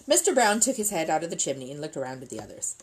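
A middle-aged woman reading a children's book aloud as expressive scripted narration, seated indoors at a table. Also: sits low, rapid-fire, a little hiss or hum.

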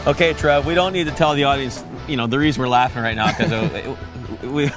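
A middle-aged man hosting a fishing show on location, wading in a river and exclaiming excitedly over his catch. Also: medium pitch, fairly quick, over music. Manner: excited, exclaiming, laughing often. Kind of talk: on-location casual chat.

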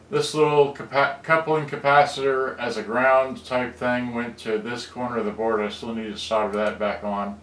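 A man casually walking through a cassette deck repair, rambling with halting restarts. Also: medium pitch, fairly bright tone, a little hiss or hum. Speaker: electronics repair hobbyist. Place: room, filming photos on a monitor. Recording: roomy, distant camera audio.

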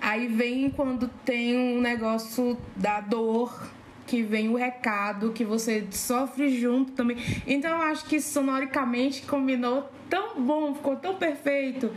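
A woman's voice: on the high side, measured pace. A woman with a Cearense Brazilian accent chatting casually and enthusiastically as a podcast guest. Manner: gushing, enthusiastic. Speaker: podcast guest, slam poet. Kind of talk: casual chat. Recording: roomy echo, faint background noise.

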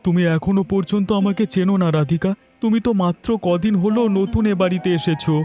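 পুরুষ কণ্ঠে চড়া গলার আহত গ্রাম্য বড় ভাই. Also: male voice, high-pitched, quick pace, mellow, faint music behind, natural voice. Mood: hurt, bitter. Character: wronged, hurt village elder brother accused of theft. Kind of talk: dramatic cartoon dialogue.

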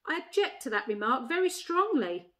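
A woman's voice: high voice, big pitch swings, moderate pace, fairly bright tone, pitched above the performer's own voice. Woman doing a stubborn, indignant bulldog. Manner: emphatic, indignant. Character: blunt, stubborn male bulldog, easily offended.

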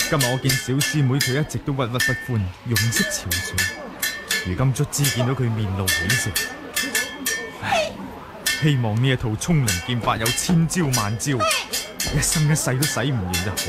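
An actor with a Hong Kong Cantonese accent playing a gentle, devoted young male swordsman in a 1980s radio drama. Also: mid-range voice, natural voice. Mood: tender. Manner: tender inner monologue, anxious bursts in the duel. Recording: 1980 radio drama with loud music bed.

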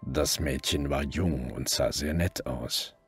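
Very deep-voiced, weary detective. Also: male voice, slow, soft background music. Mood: brooding. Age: middle-aged. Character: weary, gruff middle-aged male detective telling his story. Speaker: narrator and lead actor. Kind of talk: German radio crime drama narration and dialogue.

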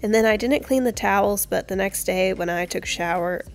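A woman's voice: medium pitch, fairly quick, soft background music. A young woman narrating her house cleaning in a chatty, upbeat voice-over. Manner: chatty, upbeat, with small laughs. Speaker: home cleaning vlogger.

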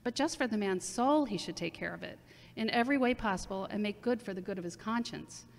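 A woman's voice: mid-range voice, creaky, slight background noise, close to an everyday voice. A woman playing a solemn, composed city mayor speaking diplomatically in a radio interview, in an amateur audio drama. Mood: solemn, diplomatic. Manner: measured, diplomatic. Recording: reverberant hall-like sound.

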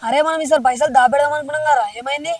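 A man plays a broke village youth with a Telangana Telugu accent who complains and schemes. His voice is very high and extremely quick. It sounds picked up from a distance with a faint hiss. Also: steady tone, crowd noise. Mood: grumbling. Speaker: comedy skit actor.

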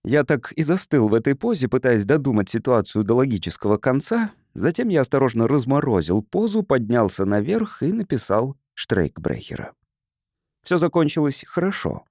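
A male audiobook narrator reads scripted fiction with expressive, measured delivery. His pitch moves widely through each sentence, and his tone is mellow and dark. The sound is close and dry. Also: no room sound. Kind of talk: scripted literary narration, reading a science fiction story.

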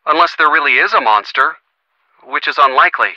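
An actor playing an earnest, gently sardonic young American boyfriend in a video game, talking conversationally and expressively with a youthful voice. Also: male voice, fairly high pitch, animated, brisk, no room sound, highs cut off. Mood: curious, thoughtful. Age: young adult. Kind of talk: video game phone-call dialogue.

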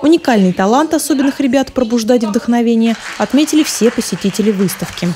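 A woman's voice: on the high side, lively intonation, fast, dark tone, a little hiss or hum. A woman reporter reading scripted narration for a television news report.

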